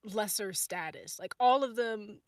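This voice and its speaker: A woman's voice: medium pitch, measured pace, no echo, studio quality. A woman chatting casually and off the cuff, thinking aloud with emphatic repeats. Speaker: podcast cast or crew member. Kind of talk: casual, off-the-cuff group chat.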